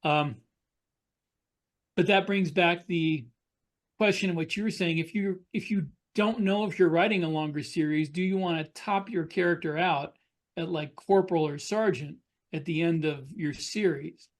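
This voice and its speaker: A man's voice: a little high, normal speed. A man co-hosting a podcast, talking casually in a panel discussion. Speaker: podcast co-host.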